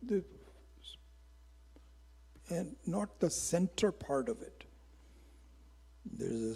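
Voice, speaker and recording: Mellow, husky-voiced man lecturing in a hall. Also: mid-range voice. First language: Hindi. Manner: reflective and unhurried, pausing often with restarts and fillers. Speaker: Vedanta teacher. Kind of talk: informal spiritual lecture.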